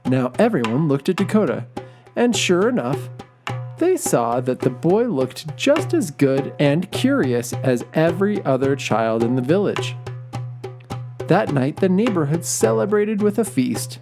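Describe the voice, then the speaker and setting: A man narrating a children's folktale and asking discussion questions, reading gently and expressively. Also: a little high, sing-song, unhurried. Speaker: storyteller. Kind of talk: scripted children's story narration. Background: music.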